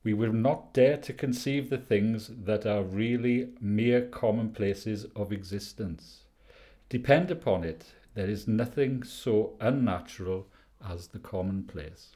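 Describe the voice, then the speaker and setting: A Welsh man voicing a Victorian male detective, declaiming in a measured way. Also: on the low side, warm tone, lightly acted. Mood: lecturing.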